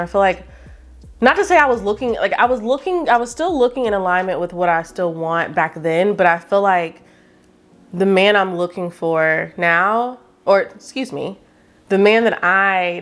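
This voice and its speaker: Woman, late 20s, YouTuber. Chatty, animated talk to camera with frequent asides. Mid-range pitch. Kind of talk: casual chat to camera.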